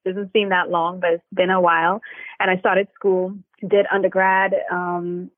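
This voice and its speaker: A woman's voice: fairly low pitch, little pitch movement, a little slow, no room sound. Woman from Trinidad on a tinny line. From Trinidad and Tobago. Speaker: immigration attorney guest. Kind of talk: podcast interview, remote guest.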